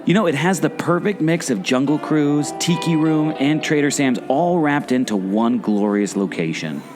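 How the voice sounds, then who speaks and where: Animated, theatrically puzzled man. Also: on the high side, moderate pace. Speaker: podcast co-host, former theme park skipper. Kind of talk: scripted audio theater dialogue. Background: guitar music.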